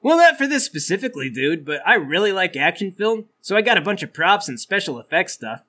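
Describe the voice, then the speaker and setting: A man playing a laid-back, excitable rich young suspect in a tabletop comedy, talking in a casual slacker way. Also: high voice, brisk, heavily acted. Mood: casual, eager. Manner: slacker drawl, says "man" and "dude". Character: laid-back rich young action-film-loving perp, easygoing and excitable.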